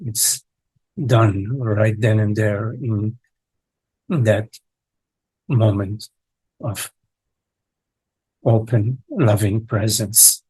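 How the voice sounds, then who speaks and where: A man leading a guided meditation as a teacher, speaking in halting short phrases with long contemplative pauses and a husky voice. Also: on the low side, steady tone, darkish tone, dry recording, rough recording. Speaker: meditation teacher leading a live online session.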